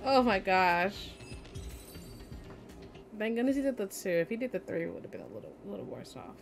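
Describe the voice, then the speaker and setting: A woman game streamer with a medium-pitched voice, reacting aloud in casual bursts. Faint game music plays behind her. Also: sing-song, fairly quick, gravelly. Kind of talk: casual let's play reactions. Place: indoors at a streaming setup.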